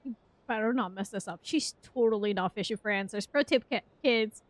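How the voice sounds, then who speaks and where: A woman streamer giving casual live game reactions in a slangy, expressive, giggly way. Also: mid-range voice, brisk, no room sound.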